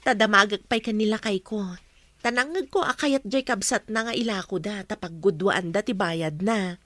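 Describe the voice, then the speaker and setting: A woman's voice: medium pitch, moderate pace, a bit bright, no room sound, lightly acted. A woman with an Ilocano accent playing a friendly, frank mother in an audio drama. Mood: chatty.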